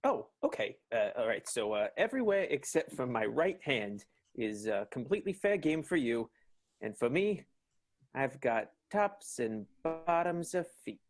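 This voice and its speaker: A man acts out a flustered boss in an improvised radio comedy. His slightly rough, husky voice swings up and down as he stammers, hedges and stalls. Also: medium pitch, big pitch swings. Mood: nervous, evasive. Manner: halting, stammering, hedging. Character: flustered, evasive male 1920s boss stalling nervously.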